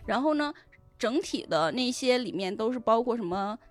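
A woman's voice: a little high, animated, moderate pace, faint music behind. A woman chatting as a podcast guest, explaining step by step in colloquial language and often checking in with "right?". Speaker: guest web-novel editor on a podcast. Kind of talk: casual explanatory podcast chat.

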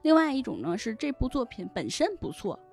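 A woman's voice: on the high side, big pitch swings, a little fast, creaky, darkish tone. A woman talking casually as a podcast guest, explaining things step by step with plenty of examples. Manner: explanatory, illustrates points with examples and imagined reader voices. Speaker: guest, web fiction editor.

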